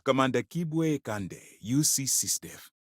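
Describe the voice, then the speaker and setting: A man playing a stern, principled space navy commander in a video game, speaking in a formal, measured, authoritative way. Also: medium pitch, animated, no room sound, studio quality. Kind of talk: scripted game dialogue.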